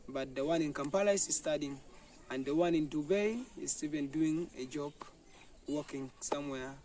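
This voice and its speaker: A young man, a student answering interview questions in halting phrases with fillers. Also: a little high, darkish tone. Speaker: student and lab assistant. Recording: distant mic with faint noise.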